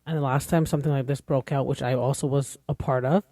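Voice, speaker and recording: A woman's voice: very deep voice, steady tone, fast talker, dark tone, no room sound. A woman in her forties hosting a podcast, talking in an earnest, rambling, conversational way. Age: 40s. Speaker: podcast host. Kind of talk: casual podcast monologue.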